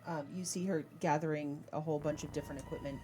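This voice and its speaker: Female game master, lowish voice, narrating tabletop scenes, clear music underneath. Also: fairly even intonation, fast. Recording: prominent music behind the voice.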